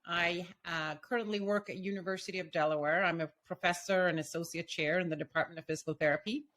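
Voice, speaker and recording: Give me a middle-aged woman from Sweden, a professor giving measured, explanatory answers in an interview. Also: fairly low pitch, fairly even intonation, fairly bright tone. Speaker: physical therapy professor, guest.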